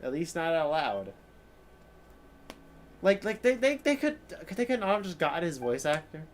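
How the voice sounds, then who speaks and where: A man's voice: on the high side, big pitch swings, normal speed, a little hiss or hum. A young man streaming a video game live, commenting in a wry, offhand, joking way.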